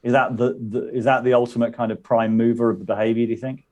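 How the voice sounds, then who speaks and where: A man's voice: mid-range voice, level tone, quick pace, darkish tone, highs cut off. A man interviewing a guest in a played clip, asking tentative questions with restarts.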